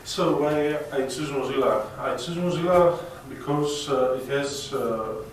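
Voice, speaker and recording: Slow, halting young Greek man in echoey room. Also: mid-range voice. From Greece. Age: young adult. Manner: hesitant, halting. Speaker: student speaker at a meetup. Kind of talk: informal unscripted talk to a group. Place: echoey indoor event room. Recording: echoey room, distant mic, background noise.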